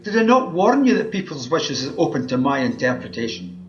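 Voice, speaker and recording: A man's voice: a little high, big pitch swings, a little fast. A man with a Scottish accent playing a cheeky, all-knowing magical wish-granter in an amateur radio play, chatty and teasing. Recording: roomy, dull home recording.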